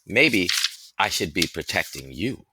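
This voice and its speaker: A man's voice: deep voice, sing-song, unhurried, bright, forceful tone, a little hiss or hum, full character voice. A man voicing an earnest, anxious young boy with an urgent, slightly timid manner in a storybook reading. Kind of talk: storybook character voice in audiobook reading.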